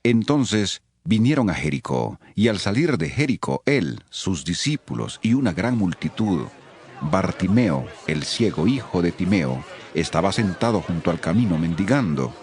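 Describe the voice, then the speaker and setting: A man narrating scripture from a script, telling it in a measured, expressive storytelling way. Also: low-pitched.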